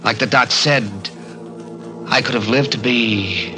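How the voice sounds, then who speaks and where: A man's voice: medium pitch, slow, lightly acted. An actor playing a bitter small-time gunman in a 1940s radio crime drama, with an American accent and streetwise, hard-boiled slang. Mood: wounded, resigned. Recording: vintage 1940s radio recording, music behind.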